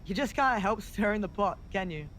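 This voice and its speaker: A man's voice: sits high, lively intonation, normal speed, fairly bright tone, a little hiss or hum. A man playing a wry, coaxing backstage adviser in a wrestling video game. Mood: wry urging. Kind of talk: video game cutscene acting.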